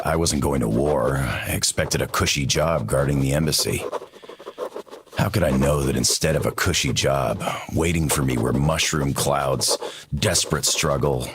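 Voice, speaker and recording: Very deep, gravelly middle-aged soldier. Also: male voice, noisy background. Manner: reflective, measured. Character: weathered American soldier, reflective and determined, longing for home. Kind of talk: scripted narration, video game monologue.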